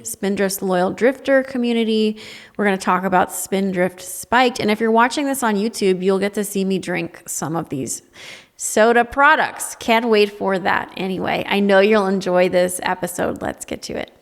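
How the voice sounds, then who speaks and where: A woman's voice: mid-range voice, moderate pace. A woman hosting a podcast, introducing and questioning her guest in an upbeat, chatty way. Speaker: podcast host. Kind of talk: podcast interview. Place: home studio. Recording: long reverb.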